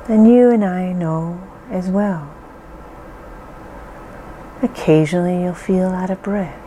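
A woman slowly leading a calming guided relaxation, reassuring and gentle with deliberate pauses. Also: on the low side, slight background noise, poor audio. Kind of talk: slow calming guided meditation.

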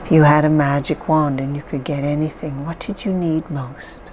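A woman leading a guided mindfulness exercise, speaking calmly and softly with long pauses. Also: low-pitched, a little hiss or hum. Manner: slow, calm, soft-spoken guidance with pauses. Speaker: therapist guiding an exercise.

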